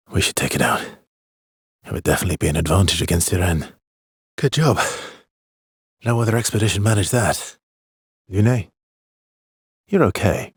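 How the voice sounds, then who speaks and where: A man playing a weary, guarded video game expeditioner, with a husky, breathy voice and an understated, measured, brooding delivery. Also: low-pitched, no echo, clean studio sound, heavily acted. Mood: urgent. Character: Verso, weary guarded male expeditioner with quiet regret. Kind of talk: video game voice lines, dramatic acting.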